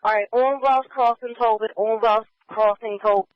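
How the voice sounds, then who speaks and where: A man's voice: high voice, steady tone, moderate pace, smooth, dry recording. A man working as a police radio dispatcher, repeating locations and orders in clipped radio phrases. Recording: muffled scanner radio audio.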